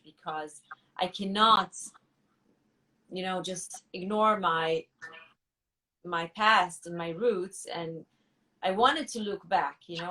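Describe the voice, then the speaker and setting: A woman musician answering interview questions thoughtfully, pausing and restarting often as she searches for words. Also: lowish voice, sharp tone, distant mic, rough recording. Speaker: musician guest.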